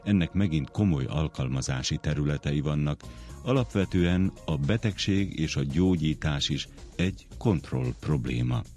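A man's voice: very deep voice, a little mellow. A man reading scripted science documentary narration as the programme's narrator, with music behind him.

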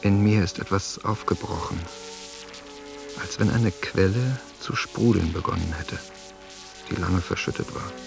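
An actor in a radio play voicing a reflective, moved man speaking his diary in a measured, introspective way with a gravelly voice. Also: deep voice, heavily acted. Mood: tender, reflective. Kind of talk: radio play interior monologue. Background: music.

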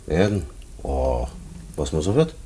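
A man's voice: extremely low pitch, big pitch swings, unhurried, background noise, full character voice. An actor playing a matter-of-fact male prison inspector in a German radio play, talking casually and condescendingly in coaxing questions.

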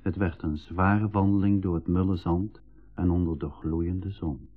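A man playing an eager but sceptical brother and narrator in a 1980s educational radio drama, clearly enunciated, in a dull recording. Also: low voice, steady tone, unhurried, soft background music. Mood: narrating.

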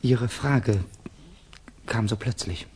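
An actor playing a guarded, brooding Russian exile in a German radio play, speaking in a terse, grave, defensive way. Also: male voice, medium pitch, slow, a little mellow, a little hiss or hum, natural voice. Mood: uneasy.

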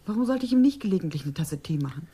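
An actress playing a timid, upset young woman in a German radio crime drama, quietly defensive. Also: lowish voice, very animated, very fast, husky. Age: young adult.

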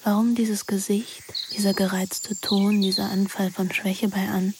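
An actress playing a wry, restless teenage daughter who narrates a literary radio play in an intimate, introspective manner. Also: female voice, mid-range voice, level tone, measured pace, noisy background, lightly acted. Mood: wry, brooding. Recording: music behind the voice.

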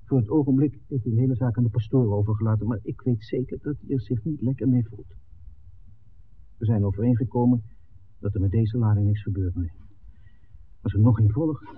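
An actor playing an earnest young documentary film-maker, tender and defiant, in a 1960s Dutch radio drama. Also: male voice, mid-range voice, a little fast, long pauses, natural voice. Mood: worried, earnest. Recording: narrow-band 1969 radio recording, faint hiss.

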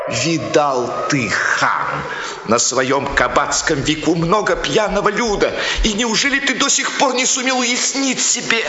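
An actor playing a ruined nobleman craving drink, begging with florid, impassioned speech in a radio drama. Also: fairly high pitch. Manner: impassioned, florid, begging, swinging from mutter to cry. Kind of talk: theatrical radio drama of a classic story. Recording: muffled, echoey, reverberant, noisy radio recording.

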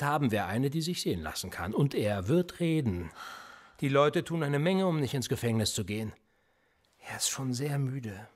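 A man's voice: medium pitch, breathy edge. An actor playing a cool, cynical male police interrogator in a radio drama, smug and needling. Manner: smug, needling, lively intonation.

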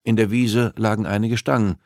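A man's voice: fairly low pitch, fairly even intonation, moderate pace, a little mellow, no room sound, studio quality. A man reading a suspense novel aloud as scripted, dramatic audiobook narration.

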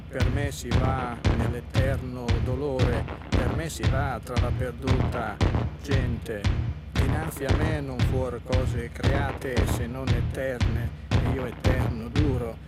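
A man's voice: mid-range voice, level tone, takes it slowly, lightly acted. A man voicing the inscription on the gate of hell as solemn, incantatory verse in a radio drama. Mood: solemn, ominous. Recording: loud music under voice.

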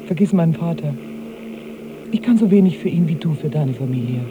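A yearning, strained wife in a radio drama. Her pitch moves expressively as she speaks in a forthright, searching way. There is clear ambient noise on the taped recording. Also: lively intonation, brisk, narrow, tinny audio. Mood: pleading.

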